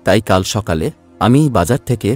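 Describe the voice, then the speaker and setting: A man voices a poor village farmer in a cartoon, with a lowish pitch. He is an earnest, good-natured family man, heard over music. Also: animated, moderate pace, darkish tone. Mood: doting. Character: hardworking poor vegetable farmer, doting husband and father. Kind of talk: Bengali cartoon voice acting.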